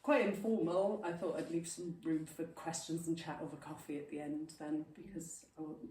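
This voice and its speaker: A woman's voice: low voice, fairly even intonation, moderate pace, dark tone. A Welsh woman in her thirties, a native Welsh speaker, giving an informal, chatty, self-deprecating talk to a local group. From Wales. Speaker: guest speaker. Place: village hall. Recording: echoey hall, mic at a distance.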